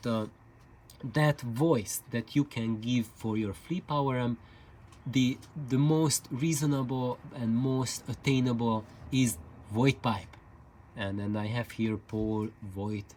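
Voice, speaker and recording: A man's voice: medium pitch, steady tone, slow, darkish tone, slight background noise. A man giving an informal explainer talk in a hesitant, meandering way, often repeating his words. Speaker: video presenter on loudspeaker building.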